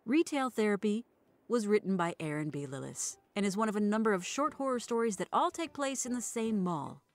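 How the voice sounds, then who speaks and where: A woman narrating scripted audio fiction and podcast credits with an expressive, wry storytelling manner. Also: medium pitch, a little fast. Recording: dry recording with music behind. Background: music.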